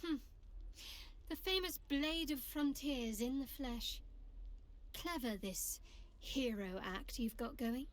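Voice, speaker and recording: A sardonic adventurer in a fantasy video game. She speaks slowly in a high voice, dryly mocking and teasing. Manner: dry, mocking. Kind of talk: video game dialogue acting.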